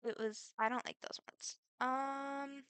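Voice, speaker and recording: A woman's voice: high-pitched, steady tone, slow, a bit bright, clean tone, dry recording. A teenage girl with a Midwestern accent, answering get-to-know-me questions in a chatty, rambling way full of fillers. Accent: Midwestern American. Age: teens. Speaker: vlogger.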